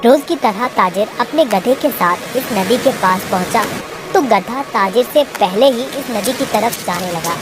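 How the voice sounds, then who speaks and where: A woman's voice: mid-range voice, animated, measured pace, poor audio. A woman reading a scripted moral tale for children as the storyteller. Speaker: narrator. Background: music.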